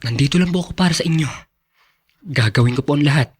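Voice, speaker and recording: A devoted young Filipino son in a radio drama. His voice sits fairly high for a man, and he speaks earnestly, with tender respect. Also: fast, breathy edge. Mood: caring. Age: young adult. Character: devoted, earnest student son, caring and anxious. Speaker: actor in a drama.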